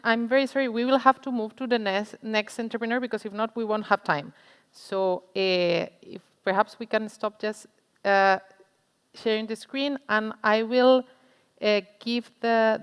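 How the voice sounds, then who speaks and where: Female online event moderator, resonant mid-pitched voice, courteous, unscripted, heavy reverb. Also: clean tone. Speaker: session moderator. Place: online conference call. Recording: reverberant online call audio.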